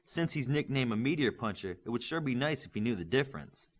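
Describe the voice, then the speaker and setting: A man's voice: mid-range voice, animated, fairly quick, pitched above the performer's own voice, close to an everyday voice. A man voicing a brainy, needling young female superhero student in an audiobook. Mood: teasing.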